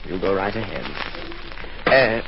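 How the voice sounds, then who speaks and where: Low-voiced, quietly menacing manservant. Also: male voice, expressive, unhurried, creaky, heavily acted. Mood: polite. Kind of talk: 1940s radio acting. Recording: noisy, muffled 1940s radio recording.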